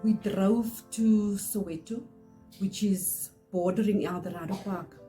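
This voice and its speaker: A middle-aged woman from South Africa, Afrikaans-speaking, with a South African accent, telling a personal story in earnest, pausing often. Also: medium pitch, steady tone, mellow, soft background music. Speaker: channel host. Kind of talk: personal testimony to camera.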